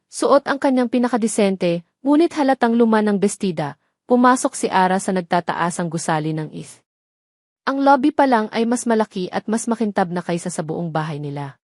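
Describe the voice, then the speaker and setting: A woman's voice: medium pitch, a little mellow, no echo, studio quality. A woman reading scripted dramatic story narration as the narrator.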